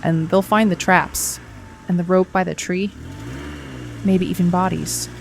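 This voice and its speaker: A woman's voice: fairly low pitch, little pitch movement, unhurried, gravelly, a little hiss or hum. A woman playing an earnest, reflective runner who narrates her own story in an audio drama. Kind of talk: first-person story narration with acted dialogue.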